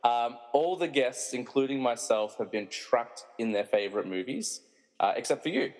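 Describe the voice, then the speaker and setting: A man's voice: mid-range voice, lively intonation, moderate pace, a little mellow. Casual male host in a reverberant room. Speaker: podcast host. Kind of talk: casual chat. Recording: long echoing room reverb.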